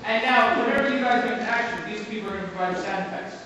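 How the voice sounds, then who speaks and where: A man's voice: sits high, rapid-fire, very noisy. A young man hosting a live improv show, taking suggestions from the audience and setting up scenes. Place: large hall with a live audience. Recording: echoey hall recorded from far off, dull sound. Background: audience chatter and laughter.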